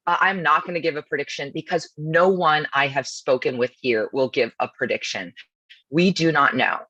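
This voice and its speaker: A woman who is a law professor, speaking with a husky voice over a video call in a media briefing and explaining things in a brisk, informal way. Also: low voice, bright, forceful tone, dry recording, lo-fi sound. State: husky voice from nonstop talking.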